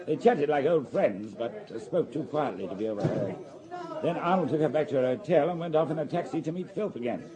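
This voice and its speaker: A man's voice: a little high, animated, a little fast, a crowd in the background, close to an everyday voice. A man playing a loyal, matter-of-fact police subordinate giving methodical reports in a radio drama, with voices in the background. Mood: businesslike.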